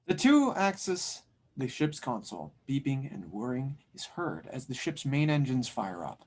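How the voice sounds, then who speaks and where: A man's voice: medium pitch, big pitch swings, normal speed, a little mellow, a little hiss or hum. A man reading scene directions as the narrator of an audio drama table read. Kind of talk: scripted narration.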